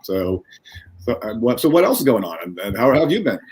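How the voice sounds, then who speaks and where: A man's voice: mid-range voice, big pitch swings, fairly quick, over a noisy crowd, rough recording. A man hosting a live stream, restarting his sentences often as he talks. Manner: stop-start, restarting sentences.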